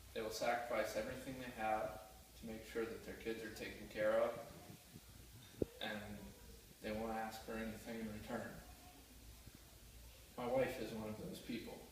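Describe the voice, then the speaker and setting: A young man giving an earnest, heartfelt class speech, with a few small restarts. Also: lowish voice. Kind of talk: prepared speech. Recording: roomy echo, mic far off, faint noise.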